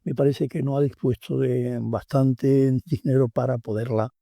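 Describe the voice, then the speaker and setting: An older man with a Tangier Spanish accent, his voice very dark and mellow. He speaks in a reflective, hesitant way full of pauses and fillers. The recording is close and dry, like a radio studio. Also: medium pitch, fairly even intonation, gravelly, no echo. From Tangier, Morocco. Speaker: author and guest. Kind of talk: radio interview.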